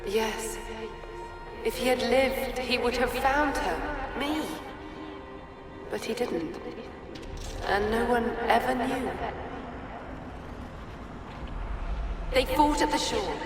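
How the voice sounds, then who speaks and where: A woman voicing a sorrowful, confused spirit in a video game, speaking in halting, dreamy fragments. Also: a little high. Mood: wistful, grieving. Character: sorrowful confused female spirit echoing a dead woman's memories. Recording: cavernous echoing reverb, distant, music behind.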